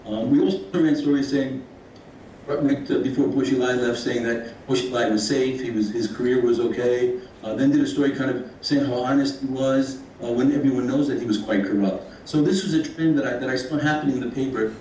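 A man speaking earnestly as a panelist, halting with many fillers. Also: medium pitch, fairly even intonation, dark tone, a little hiss or hum. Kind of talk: panel speech. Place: panel event hall. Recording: echoey hall from far off.

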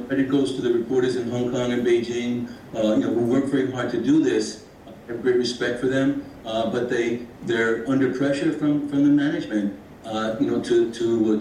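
Man, earnest halting panelist; distant mic in a very reverberant hall. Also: mid-range voice, a little mellow, a little hiss or hum. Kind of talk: panel speech. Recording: echoey hall from far off.

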